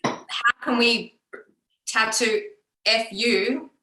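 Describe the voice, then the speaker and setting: An actress from Melbourne with an Australian accent, talking in an animated, slightly halting way in an interview. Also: female voice, a little high, reverberant, rough recording. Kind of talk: casual interview.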